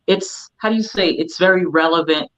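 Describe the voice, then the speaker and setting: A woman in her fifties from Barbados talks reflectively as an interview guest, measured and searching for her words. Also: lowish voice, fairly bright tone, low-quality recording. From Barbados, moved to the United States at ten. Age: 50s. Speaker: guest priestess. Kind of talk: casual reflective interview talk.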